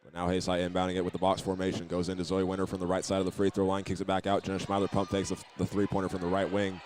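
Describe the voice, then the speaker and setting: A man giving steady, methodical live play-by-play commentary of a high school basketball game. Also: rapid-fire. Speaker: play-by-play announcer. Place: indoor school basketball gym. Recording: live gym broadcast with clear background noise.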